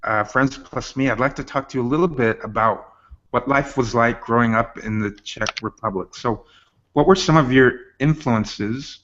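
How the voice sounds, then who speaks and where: A man hosting a casual interview on a video call, asking measured questions. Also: mid-range voice, fairly bright tone. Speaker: host. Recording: dull web-call audio.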